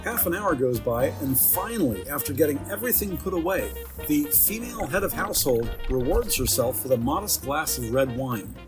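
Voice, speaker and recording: A man reading scripted audio drama narration in a measured, detailed storytelling manner. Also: fairly high pitch, very animated. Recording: music behind the voice.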